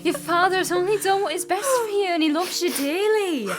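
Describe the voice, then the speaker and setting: A woman's voice: very high-pitched, moderate pace, over music. A woman playing a deferential, consoling maid in a period radio drama. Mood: soothing. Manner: deferential, soothing.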